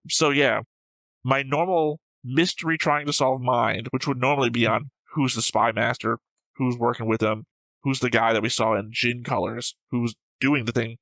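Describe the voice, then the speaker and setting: A man's voice: medium pitch, fairly even intonation, normal speed, a bit bright, no echo, poor audio. A man who hosts a reaction show, talking casually and discursively about a series, rambling and swearing freely.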